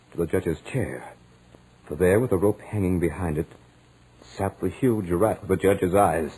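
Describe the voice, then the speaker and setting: An actor playing an anxious student who narrates his mounting dread in a dramatic, deliberate 1940s radio horror style. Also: deep voice, darkish tone, slight background noise, poor audio. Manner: dramatic, deliberate, suspenseful delivery. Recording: old 1940s radio recording, music behind. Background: dramatic musical score.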